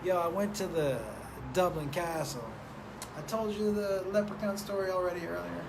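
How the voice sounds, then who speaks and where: Male live-stream host, rambling and profane, slightly high voice, noisy feed. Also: moderate pace, creaky. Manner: loose, profane, rambling and playful. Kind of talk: casual live-stream chat, reading viewer comments. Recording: noticeable background noise.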